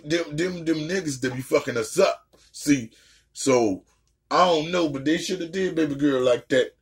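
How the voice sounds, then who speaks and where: A man chatting casually as a reaction host, blunt, slangy and profane, often repeating words for emphasis. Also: a little high. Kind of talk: casual chat reacting to a story.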